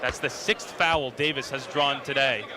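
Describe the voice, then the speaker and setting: A man calling live basketball play-by-play on radio with an energetic, excitable delivery. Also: medium pitch, unhurried. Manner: energetic, rising excitement on big plays. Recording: loud arena noise behind the voice. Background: basketball arena with bouncing ball.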